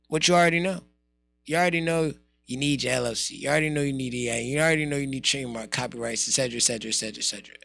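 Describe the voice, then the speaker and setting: A man's voice: mid-range voice, creaky, sharp tone, no room sound. An American man hosting a casual morning radio show, emphatic and streetwise, repeating phrases for emphasis.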